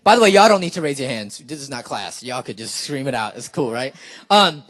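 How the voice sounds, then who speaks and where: A man's voice: mid-range voice, lively intonation, fairly quick, a bit bright, no echo. A young man doing stand-up comedy for a live crowd, chatty and playful, laughing and talking with the audience. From Philippines, raised in California. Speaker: stand-up comedian and spoken word performer.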